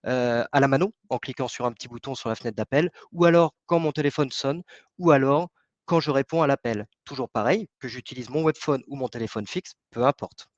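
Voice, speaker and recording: A man's voice: medium pitch, a little fast, no room sound, lo-fi sound. Hesitant man presenting a webinar. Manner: hesitant, many fillers and restarts.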